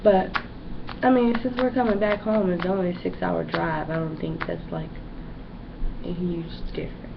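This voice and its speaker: A woman's voice: fairly low pitch, steady tone, moderate pace, gravelly. A young woman giving a casual, rambling pregnancy update in a vlog, with lots of fillers. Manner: rambling and chatty, many fillers. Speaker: pregnant vlogger. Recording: dull lo-fi home recording with background noise.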